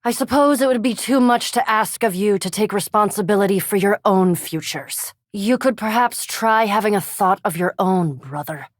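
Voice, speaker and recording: An actress voicing a haughty, scholarly elf vampire in a fantasy video game, with formal, precise diction and dry, disdainful sarcasm. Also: female voice, mid-range voice, moderate pace, hardly any pauses, no echo, close to an everyday voice. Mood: wry, sarcastic.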